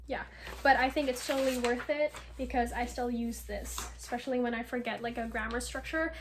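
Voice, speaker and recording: A woman's voice: fairly high pitch, steady tone, a little fast, background noise. A woman vlogging casually to the camera, chatty and filling her talk with ums and likes. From United States.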